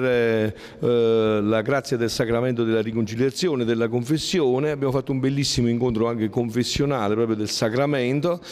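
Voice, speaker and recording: A middle-aged friar speaks earnestly in a reverberant hall, voice trailing on long echoes. He hesitates often, restarting phrases with soft fillers as he explains. The pitch sits in a plain middle range. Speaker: friar and exorcist. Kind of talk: interview answers.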